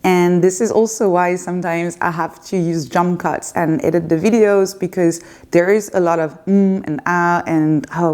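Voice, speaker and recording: A woman's voice: on the low side, dark tone. A woman in her thirties with a French accent, answering viewer questions in a candid, unscripted chat to camera at home, with some hesitations. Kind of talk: unscripted casual Q&A. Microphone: facing a camera.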